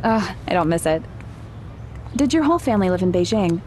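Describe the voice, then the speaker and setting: A woman's voice: on the low side, expressive, brisk, darkish tone, a little hiss or hum, natural voice. A woman playing an anxious, curious teenage girl in an audio drama, conversational and questioning. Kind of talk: naturalistic audio drama acting.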